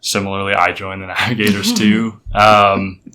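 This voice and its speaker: A young man with a low voice and a bright, forceful edge to it. He tells his story casually, pausing often and filling gaps with small words. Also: level tone. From Colorado. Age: 20s. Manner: halting, many fillers and restarts, laughs easily. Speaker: podcast guest.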